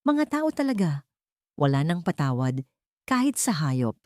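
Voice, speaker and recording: A woman's voice: sits low, sing-song, a little slow, a little mellow, dry recording, studio quality. A woman voicing a poor, kind-hearted scavenger in an audio drama, speaking earnestly and protectively. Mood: disgusted.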